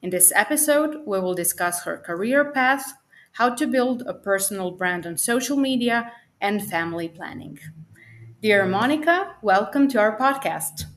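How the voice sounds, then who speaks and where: A woman's voice: mid-range voice, lively intonation, normal speed, a bit bright. Young woman hosting a podcast interview. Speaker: podcast host.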